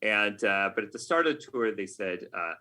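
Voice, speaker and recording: Middle-aged male interviewee, slightly low pitch, sharp bright tone, casual, halting delivery. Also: animated. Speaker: podcast guest, game writer. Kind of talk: casual interview talk, reflective anecdotes.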